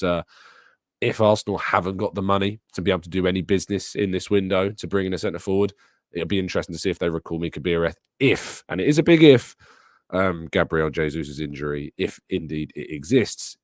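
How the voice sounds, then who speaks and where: A young adult man, hosting a solo football podcast in a casual way, his voice raspy and hoarse from a sore throat. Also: sits low, darkish tone, dry recording, studio quality. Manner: conversational, frequent ums. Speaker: podcast host. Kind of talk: solo football news podcast with live chat questions.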